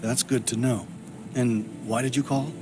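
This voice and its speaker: A man's voice: medium pitch, steady tone, a little slow, background noise, natural voice. An actor playing a troubled, guarded man in a video game, speaking tersely and broodingly. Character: troubled, guarded man searching for his missing sister. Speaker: main character of a video game. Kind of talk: scripted game voice acting, brooding monologue and dialogue.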